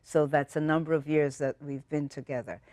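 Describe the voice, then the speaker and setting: A woman's voice: sits low, fairly even intonation, fairly quick, darkish tone, no echo. A middle-aged Jamaican woman from Jamaica, a guest talking in a thoughtful, reflective way on a talk show. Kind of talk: casual chat.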